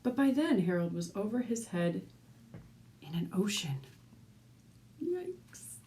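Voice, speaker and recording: A woman reads a children's story aloud with a very lively, swooping delivery. Her tone is soft and mellow, pitched in a middle range, and she speaks as a teacher would to young listeners. There is faint noise behind her. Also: takes it slowly. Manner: animated, with asides and questions to young listeners. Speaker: teacher reading a picture book aloud.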